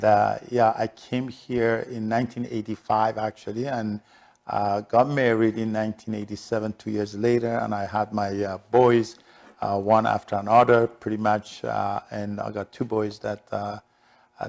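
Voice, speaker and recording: Middle aged iranian guy, persian accent, gravelly creaky voice, answering interview questions. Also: fairly low pitch, little pitch movement, moderate pace, a little mellow. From Shiraz, southern Iran. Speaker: interview guest, real estate broker.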